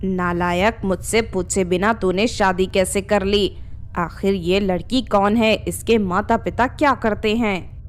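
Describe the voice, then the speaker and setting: A scheming older mother-in-law from a cartoon tale, acted out by a woman. She speaks slowly at a middling pitch, scolding and giving orders with greedy, harsh accusation. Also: steady tone, soft background music, lightly acted. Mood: angry, scolding.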